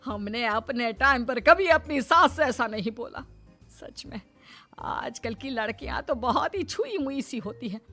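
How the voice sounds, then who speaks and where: A woman voicing a nagging old Indian mother-in-law in an animated moral story, scolding and taunting in a self-righteous way. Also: fairly high pitch, unhurried, faint music behind, heavily acted. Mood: indignant.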